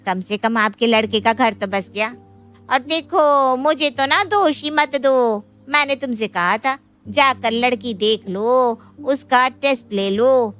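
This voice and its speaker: Woman acting a high, brazen matchmaker sister. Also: slow, fairly bright tone, soft background music. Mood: defensive. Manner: unapologetic, argumentative. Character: brazen, defensive younger sister, a village-style matchmaker. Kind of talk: Hindi moral story cartoon voice acting.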